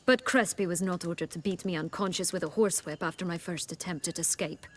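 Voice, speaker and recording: An actress playing a proud, bitter exiled noblewoman in a video game, with haughty, formal, measured speech. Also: lowish voice, slight background noise, lightly acted.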